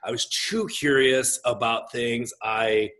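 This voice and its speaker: A man in his forties with a big voice and an average male pitch talks reflectively about his own life. He is gregarious and expressive, often interrupting himself as he works toward his point. It sounds like a pastor being interviewed in relaxed conversation. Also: takes it slowly. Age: 40s. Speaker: guest, pastor. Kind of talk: reflective personal storytelling in conversation.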